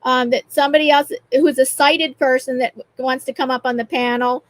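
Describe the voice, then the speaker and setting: A mature woman hosting a panel casually, in a halting way with repeated words and restarts. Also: high voice, steady tone, dry recording. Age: 40s or older. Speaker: livestream panel host.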